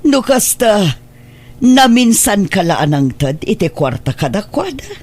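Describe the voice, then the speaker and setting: A woman with an Ilocano accent playing a caring, reproachful elderly mother in a radio drama, pleading and admonishing in a husky voice. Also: mid-range voice, sing-song, unhurried, darkish tone.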